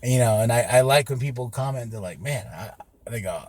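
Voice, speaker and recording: A man's voice: medium pitch, expressive, fairly quick, darkish tone. A man hosting a casual podcast, brash and laughing, swearing freely, recorded on a phone hanging inside a car. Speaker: podcast host. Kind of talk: casual chat, heavy swearing.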